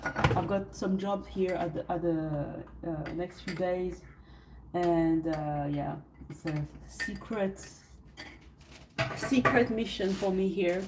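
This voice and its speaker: A woman's voice: fairly low pitch, slow, noisy background. A woman from France with a French accent, hosting a casual livestream, rambling and hesitant with many fillers and restarts, sounding sleepy. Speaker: livestream host. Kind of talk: casual livestream chat with viewers. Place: hotel suite, indoors.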